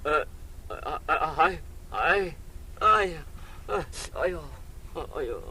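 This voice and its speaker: 一個男演員喺兒童廣播劇入面，用香港廣東話演一個貪心又怕死嘅客棧老闆，捱打之後口吃吃咁哀求。. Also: male voice, a little high, sing-song, slow pace, sharp tone, exaggerated voice. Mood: groaning. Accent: Hong Kong Cantonese. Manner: stammering, pleading. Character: greedy, cowardly innkeeper, beaten and whining. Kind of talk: Cantonese children's radio drama. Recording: noisy old audio cassette.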